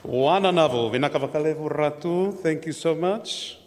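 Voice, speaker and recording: A man's voice: fairly high pitch, moderate pace, a little mellow. A man hosting an awards ceremony, reading out winners and making playful jokey asides. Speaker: master of ceremonies. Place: large hall at an awards ceremony. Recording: reverberant hall with crowd and background noise.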